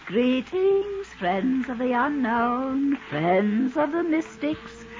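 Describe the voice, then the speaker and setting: Phony séance medium: high-pitched woman, grandly theatrical, brassy underneath, 1950s radio play on dull old recording. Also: very animated, takes it slowly, darkish tone, slight background noise, heavily acted. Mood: mystical, solemn. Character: fraudulent spirit medium, ample, theatrical, brassy when cornered. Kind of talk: 1950s radio drama acting.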